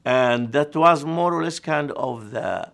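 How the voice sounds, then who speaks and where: A man's voice: on the high side, slow, vocal fry, a bit bright. A man giving reflective, conversational commentary as a documentary interviewee.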